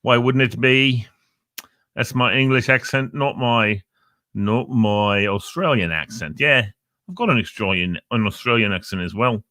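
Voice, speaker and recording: Bright-voiced man rambling through a chatty show. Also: medium pitch, lively intonation, measured pace, no echo, clean studio sound. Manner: rambling, playful, jokey asides. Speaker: show host. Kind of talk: casual live show chat. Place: Northern Virginia.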